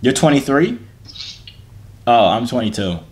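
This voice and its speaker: A man's voice: mid-range voice, sing-song, measured pace, background noise. Teasing young man on a phone video chat.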